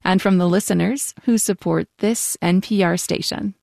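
A woman reads sponsor credits formally, with a strong gravelly fry in her voice. The sound is dry and close, straight from the studio. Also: medium pitch, steady tone, normal speed, darkish tone, dry recording. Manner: crisp, formal read. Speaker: sponsor announcer. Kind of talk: scripted sponsorship reading.

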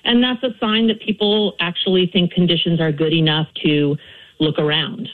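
A woman's voice: fairly low pitch, moderate pace. Woman economist on a phone line. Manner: calm, explanatory. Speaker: economist guest. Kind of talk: live interview.

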